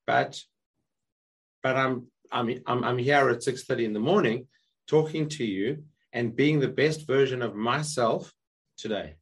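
A man with a husky, croaky voice, hoarse from being unwell, speaks as a guest over a video call. He tells a story in a relaxed, chatty way, often stumbling and restarting his sentences. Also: medium pitch, a little slow. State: hoarse, recovering from illness. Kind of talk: casual interview chat.

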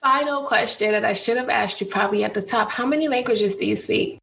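A woman's voice: a little high, fast talker, gravelly. A woman hosting an interview, asking questions in an enthusiastic, encouraging way. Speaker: interview host. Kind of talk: casual interview chat. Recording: distant mic with faint background noise.

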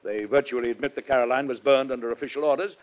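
A man's voice: mid-range voice, steady tone, very fast, close to an everyday voice. An actor playing a stern, exasperated middle-aged American president and father in an old-time radio drama, formal and emphatic. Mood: grave. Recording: dull old radio broadcast recording.

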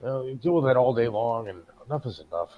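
Complaining American man, medium pitch. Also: lively intonation, fast talker, lo-fi sound. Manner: profane, complaining. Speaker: talk show co-host. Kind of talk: casual chat.

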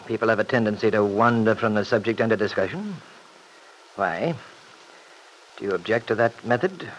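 An actor as a grave, shrewd attorney, faint hiss. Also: male voice, lowish voice, natural voice. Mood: brisk, questioning. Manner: probing, dry, deliberate. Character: shrewd, grave, dryly sardonic male Scottish attorney. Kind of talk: old-time radio serial acting. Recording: noisy old radio broadcast recording.

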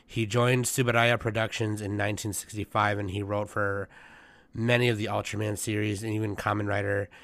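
A man with a low, gravelly voice chats casually as host of his own anime news show. He rambles, restarting sentences and leaning on fillers as he goes. The sound is close and dry, with no room around it. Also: flat delivery, brisk, fairly bright tone, no echo. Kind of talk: casual chat.

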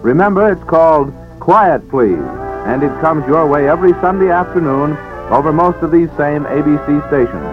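A male announcer reads scripted radio copy in a formal 1940s manner. His voice sits a little high. Music plays behind him on a tinny old broadcast recording. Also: moderate pace. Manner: formal, polished.

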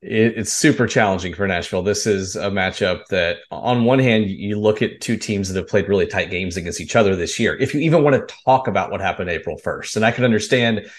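A man's voice: fairly low pitch, brisk, few pauses. A man hosting a conversational sports interview, laying out long analytical questions. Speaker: host.

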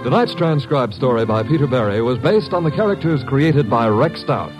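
A man giving the closing credits and a promo as a radio announcer, smooth and formal. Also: medium pitch, animated, normal speed, poor audio. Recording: old radio broadcast with music.

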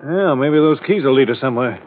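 A man's voice: mid-range voice, lively intonation, fast talker, lightly acted. Anxious hurt sidekick played by a man, defensive and aggrieved, thin vintage radio recording with some noise. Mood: pained, defensive. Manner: defensive, pleading. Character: wounded, anxious, aggrieved American male underling. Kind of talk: old radio drama acting. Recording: tinny, slightly noisy old radio.

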